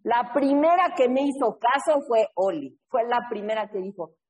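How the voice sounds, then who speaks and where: A woman from New England leading an online recovery workshop, telling stories in a lively, casual way and often checking in with her listeners over a video call. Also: fairly high pitch, normal speed, slightly rough. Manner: lively, keeps checking in with a tag question. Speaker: recovery workshop leader and sponsor. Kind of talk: casual storytelling talk in an online support meeting.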